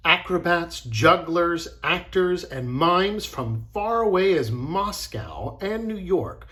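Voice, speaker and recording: An encouraging, expressive man teaching a children's drama lesson and narrating a storybook. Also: sits high, takes it slowly.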